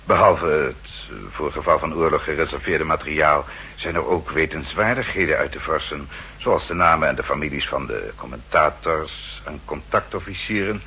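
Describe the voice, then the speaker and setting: An actor playing a formal, dryly polite aide to a spymaster in a 1960s radio drama, deferential, measured and precise. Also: male voice, very deep voice, sharp tone, slightly rough, heavily acted. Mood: measured briefing. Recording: 1960s radio recording, faint hum and noise.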